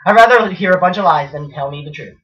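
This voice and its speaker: A man's voice: high voice, expressive, quick pace, fairly bright tone. A young man co-hosting a casual chat show, talking frankly and mocking himself. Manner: frank, blunt and self-mocking. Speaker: co-host. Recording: distant mic, dull lo-fi sound.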